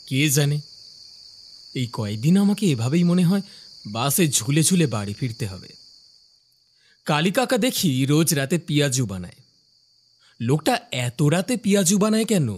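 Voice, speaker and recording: A man's voice: a little high, slight background noise. A man playing a curious, puzzled young office worker new to a village, musing and questioning in a horror cartoon. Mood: weary, wondering. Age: young adult. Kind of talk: horror cartoon dialogue and inner monologue. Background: faint music.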